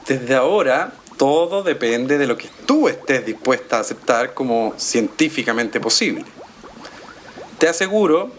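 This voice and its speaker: A man's voice: medium pitch, background noise, natural voice. An actor with a Chilean accent playing an assured, persuasive tech entrepreneur who explains his experiment in a naturalistic audio drama.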